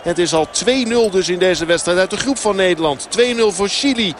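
A man's voice: sits high, moderate pace. A man giving a live football update in the style of a radio sports reporter. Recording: clear outdoor background noise.

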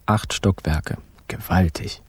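A man's voice: sits low, slow, a little hiss or hum. A man playing the dry, laconic narrator of a radio crime drama, with an ironic delivery. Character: wry male crime-story narrator. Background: guitar music.

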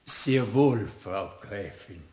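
A man's voice: lowish voice, lively intonation, slow, darkish tone. A male actor in a radio play as a dignified, snobbish manservant who speaks in a formal, stilted way. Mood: deferential, reserved. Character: stiff, dignified manservant of a noble house, snobbish. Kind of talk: German radio play acting.

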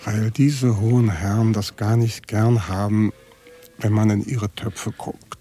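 An actor playing a bitter, evasive contract calf fattener in a radio drama, husky and blunt, with a sardonic, coarse way of talking. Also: male voice, fairly low pitch, steady tone, measured pace, warm tone, faint music behind. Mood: wary, defiant. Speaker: contract livestock farmer. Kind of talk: naturalistic radio drama dialogue.

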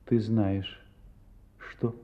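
An actor playing an earnest, courteous and curious gentleman in an audio drama, with an expressive, somewhat hesitant manner. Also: lowish voice, very slow. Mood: tender, hesitant. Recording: slightly dull audio with music.

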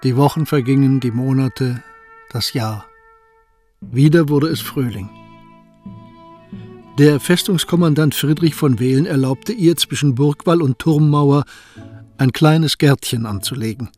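A man narrating a historical radio drama in a measured, sober way. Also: mid-range voice, darkish tone. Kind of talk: scripted narration. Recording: dry close recording with music.

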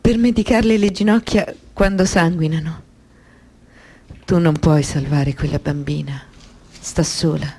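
Low, husky anguished woman. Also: fairly even intonation, unhurried, darkish tone, exaggerated voice. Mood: sorrowful. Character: anguished woman abuse survivor recounting her trauma. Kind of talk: dramatic radio monologue, literary narration.

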